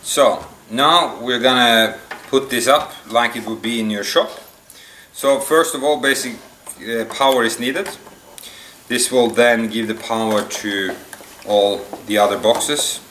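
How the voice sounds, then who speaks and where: A man giving an unscripted product demonstration, explaining step by step in a halting, hesitant way. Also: mid-range voice, a bit bright. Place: indoor room at a table. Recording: echoey room, distant mic, faint noise.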